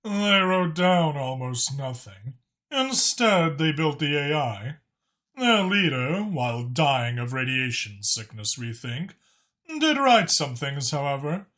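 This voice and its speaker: A man's voice: on the high side, big pitch swings, slow pace, pushed and bright, heavily acted. A man voicing a talkative alien dragon informant who explains things knowingly and with emphasis in an audiobook story. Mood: solemn, explanatory.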